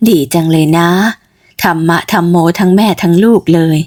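A woman's voice: fairly low pitch, steady tone, moderate pace, darkish tone, pitched below the performer's own voice, natural voice. A woman voicing a middle-aged village mother in a storybook tale, motherly and chatty with a fretful streak. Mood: friendly, curious. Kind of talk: storybook character voice.

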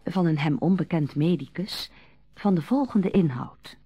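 A woman narrating a Dutch radio drama from a script in a soft, husky voice. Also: fairly low pitch, expressive, unhurried, background noise.

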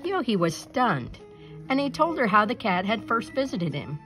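Expressive woman reading a children's story over music. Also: on the high side, normal speed. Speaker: storyteller reading a picture book aloud.

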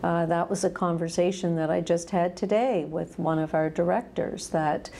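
A woman in her sixties, a school administrator, answering interview questions in a measured, thoughtful way with lapel mic. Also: fairly low pitch, fairly even intonation, gravelly, dark tone, slight background noise. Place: school office indoors.

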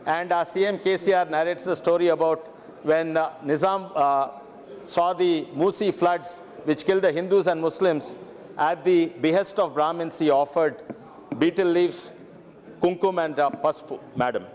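A man's voice: on the high side, steady tone, normal speed, darkish tone. Earnest man addressing parliament. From Telangana, India. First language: Telugu. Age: middle-aged. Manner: earnest, repeats "madam" often, brief stammers. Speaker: member of parliament. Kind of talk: parliamentary speech. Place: large parliament chamber. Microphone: desk microphone. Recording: reverberant parliament chamber.

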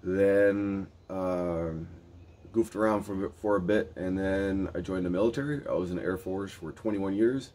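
A man in his fifties, born in Germany, speaking in a relaxed, talkative way with frequent restarts, his nose a little stuffy. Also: low-pitched, unhurried. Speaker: co-owner of a marriage agency. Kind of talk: casual video introduction. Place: covered porch outdoors.

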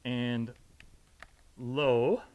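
A man's voice: mid-range voice, fairly even intonation, drawn-out words, lo-fi sound. An American man in his thirties presenting a casual, conversational product review to camera in an indoor studio. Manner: conversational, informal. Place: indoor studio with fabric backdrop.

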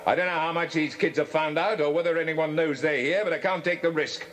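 An actor playing a menacing, commanding criminal boss in a children's audio drama, brisk and threatening. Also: male voice, a little high, steady tone, a bit bright, close to an everyday voice. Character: menacing, commanding crook boss, adult male. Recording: noisy, clicking old cassette transfer.

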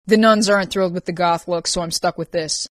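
A woman's voice: fairly low pitch, fairly even intonation, brisk, dry recording, clean studio sound, close to an everyday voice. A woman voicing a glum, blunt young woman character in a story narration. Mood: glum, flustered.

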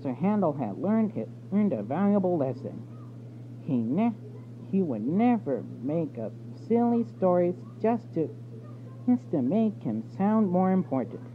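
High-voiced man haltingly reading, tinny sound. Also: very animated. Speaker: storybook reader. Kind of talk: reading a children's storybook aloud. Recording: tinny phone-like audio with background noise.

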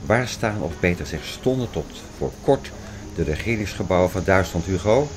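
Man acting low-voiced, insistent prophecy theorist, Klaus. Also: lively intonation, normal speed, noisy background. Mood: excited, insistent. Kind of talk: radio play dialogue, insistent lecturing and reciting.

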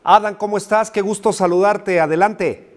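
A man in his forties anchoring an evening newscast from a TV studio, with a brisk, upbeat presenter delivery. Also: high-pitched, fairly even intonation. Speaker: news anchor. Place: TV news studio.

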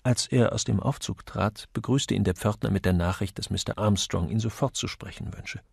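A man's voice: fairly low pitch, moderate pace, creaky, darkish tone, husky, dry recording, clean studio sound. A man reading a novel as an audiobook narrator, in a scripted literary style.

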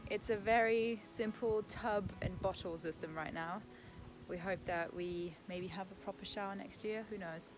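A young woman talking to the camera in a relaxed, chatty way as she vlogs from her rural homestead. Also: medium pitch, normal speed, music in the background. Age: young adult. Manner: relaxed, chatty, with soft laughs. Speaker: homesteading vlogger. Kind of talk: casual vlog talk to camera. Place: outdoor shelter on a rural homestead.

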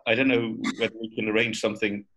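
An older man on a video call, speaking in a measured, thoughtful way. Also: fairly low pitch, little pitch movement, fairly bright tone. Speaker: discussion group member. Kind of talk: casual video call chat. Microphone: video call laptop mic.

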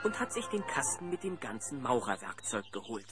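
A man narrating a children's audio drama in a lively storytelling style. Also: medium pitch, brisk, lightly acted. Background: loud music.

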